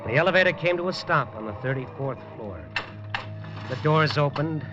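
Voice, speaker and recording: A man's voice: on the high side, lively intonation, moderate pace, background noise, natural voice. An actor playing a hard-boiled male newspaper reporter, narrating dryly and tersely with wisecracks in a 1950s radio drama. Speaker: lead narrator. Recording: dull 1950s radio broadcast.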